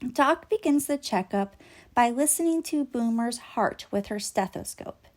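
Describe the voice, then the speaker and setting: Slow, animated woman reading a children's story. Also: a little high. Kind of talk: expressive read-aloud narration for young children.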